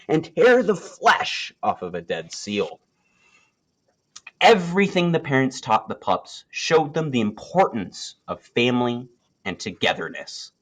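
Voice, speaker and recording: A man reading a children's nature story aloud, with expressive storytelling delivery and deliberate phrasing. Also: mid-range voice. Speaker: storybook reader.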